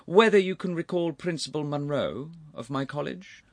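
A man voicing an affable, impudent young gentleman in a storybook, unflappable, courteous and easily conversational. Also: fairly low pitch, big pitch swings, moderate pace, no room sound, pitched above the performer's own voice, exaggerated voice. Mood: affably obstinate. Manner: smooth, courteous, easy conversational cheek. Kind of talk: audiobook character voice.

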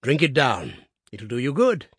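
Bluff hearty office boss, read by a male narrator, lively pitch, husky, dry close sound, storybook. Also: unhurried, no echo, heavily acted. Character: hearty, stout, commanding older male boss.

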